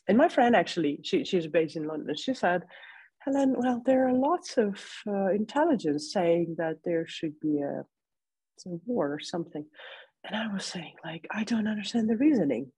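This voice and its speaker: Woman, gravelly voice, hesitant with restarts, interview guest. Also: fairly low pitch, warm tone, no echo. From Ukraine. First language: Russian. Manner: hesitant, many restarts and fillers. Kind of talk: interview conversation.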